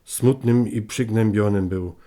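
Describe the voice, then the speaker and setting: An actor playing a grave 19th-century gentleman, a man reading his letter aloud in a formal, literary manner for a radio play. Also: fairly low pitch, moderate pace, lightly acted.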